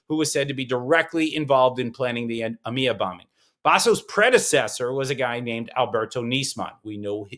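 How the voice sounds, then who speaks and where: A man's voice: medium pitch, fairly bright tone. A man hosting a morning news podcast, delivering scripted headlines with punchy, sarcastic asides. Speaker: podcast host. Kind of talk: scripted news briefing with commentary.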